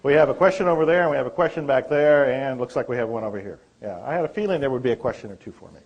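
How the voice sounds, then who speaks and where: A man's voice: medium pitch, rapid-fire, dull audio. A man presenting a seminar and answering audience questions in a friendly, conversational way in a meeting room. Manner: friendly, conversational, chuckles easily. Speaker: presenter and author.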